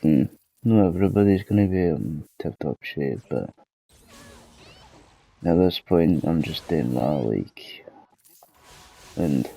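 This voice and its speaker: Low gravelly Scottish man with a cold. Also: warm tone, poor audio. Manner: rambling, sweary, self-deprecating. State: hoarse with a cold. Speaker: gaming channel host. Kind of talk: casual gaming vlog chat.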